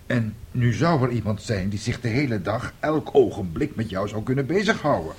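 A man's voice: medium pitch, lively intonation, normal speed, slightly rough. An actor playing an easygoing father who humours his son in a radio play. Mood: coaxing.

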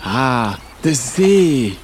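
Man as an earnest reporter, mid-pitched voice. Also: very animated, extremely slow, slight background noise. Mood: eager, earnest. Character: eager, naive, self-important young male reporter on a quest. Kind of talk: comedy radio drama acting.

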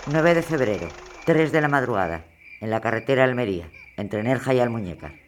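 A man reading scripted scene-setting lines in a flat announcing manner. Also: medium pitch, fairly quick, a little hiss or hum, natural voice. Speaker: narrator of time and place.

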